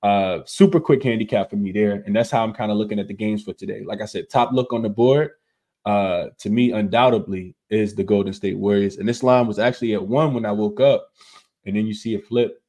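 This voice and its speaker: A man's voice: on the low side, warm tone. A man who is losing his voice, hosting a casual sports betting show, talking through his picks with many fillers and repeated words. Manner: filler-heavy, repeats words, quick asides. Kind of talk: casual picks analysis to viewers.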